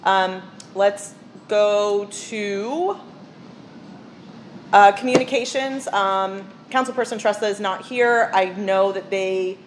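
A woman mayor chairing a village council meeting, moving briskly through the agenda in a casual way with many fillers. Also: mid-range voice, noisy background.

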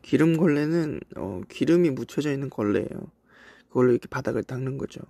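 A young Korean man from South Korea with traces of a Cheongju accent, chatting casually on a livestream and reminiscing in a relaxed way with soft asides. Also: mid-range voice, brisk, gravelly, slightly rough, no room sound. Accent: Cheongju dialect traces in Korean. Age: young adult. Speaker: idol livestream host. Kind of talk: casual livestream chat reading comments. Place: indoors, voice-only stream.

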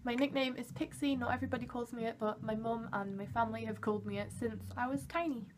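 A young woman in her early twenties, from Wales, chats to camera from her bedroom in a high, bright voice. She rambles cheerfully and laughs at herself often. A faint background hum sits under the recording. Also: steady tone, fast. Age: early 20s. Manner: chatty, giggly, self-deprecating. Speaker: vlogger. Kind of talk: casual chat to camera. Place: bedroom, indoors. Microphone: camera at arm's length.

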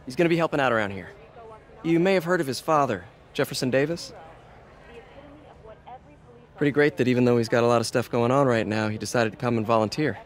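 A man's voice: mid-range voice, quick pace, long pauses, close to an everyday voice. An actor playing an earnest, friendly young man in a video game, with a casual, upbeat manner. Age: young adult.

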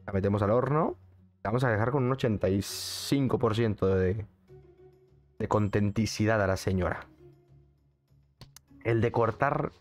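A young man with a Mexican accent, streaming a game and chatting in a casual, jokey way while answering his chat. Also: lowish voice. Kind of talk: casual gaming commentary.

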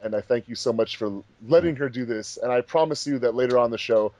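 A man's voice: medium pitch, fast, dark tone, no echo. A man hosting a commentary track in casual, chatty speech. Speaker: fandub director and commentary host.

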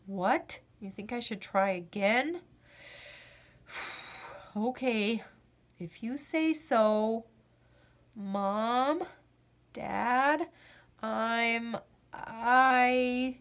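A woman's voice: fairly high pitch, very slow, long pauses, a bit bright, heavily acted. A woman voicing an anxious, earnest little boy frog from a picture book, hesitant and confiding. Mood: reluctant, nervous. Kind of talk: children's picture-book read-aloud.

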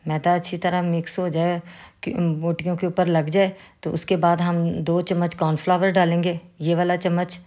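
A woman with a Pakistani Urdu accent walks through a home recipe in a calm, instructive way. Her voice sits a little low, and she talks like a cooking host guiding viewers step by step. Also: normal speed. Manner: calm, instructive, with religious greetings. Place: home kitchen.

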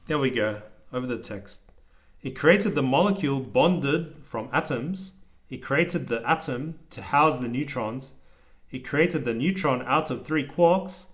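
A man reading a children's storybook aloud as a parent, with casual asides and a deliberate, sing-song delivery. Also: medium pitch, lively intonation, fairly bright tone.

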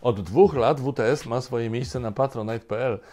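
A man's voice: mid-range voice, moderate pace, warm tone, soft background music. A middle-aged man hosting a satirical news show, delivering scripted commentary with ironic, emphatic asides from a home study.

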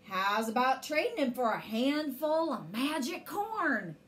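A woman's voice: sits high, sing-song, a little slow, a bit bright, slight background noise, heavily acted. A woman voicing a male cowboy trader, a coaxing folksy stranger in a storybook. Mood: sly coaxing.

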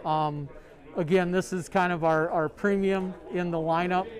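A middle-aged man, a sales rep, showing products in a large store, talking in a halting way full of ums and uhs. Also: high-pitched, steady tone. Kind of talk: product demo. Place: large sporting goods store, indoors.

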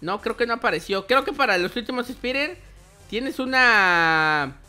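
A man's voice: extremely high pitch, lively intonation, measured pace, bright, forceful tone. A man hosting a gameplay roleplay video, commentating playfully and exclaiming with words repeated in bursts. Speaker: host of a gaming video channel. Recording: music behind the voice. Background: music.

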